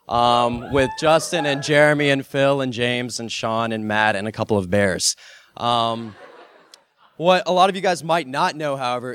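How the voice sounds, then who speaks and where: A man's voice: medium pitch, a bit bright, no room sound. Nervous, self-joking young man. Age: young adult. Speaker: student giving a testimony. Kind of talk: personal testimony on stage.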